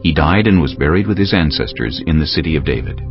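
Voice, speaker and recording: A man narrating a scripture audiobook in a solemn, measured, dramatic style. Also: rumbling low, animated. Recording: dull audio with music behind.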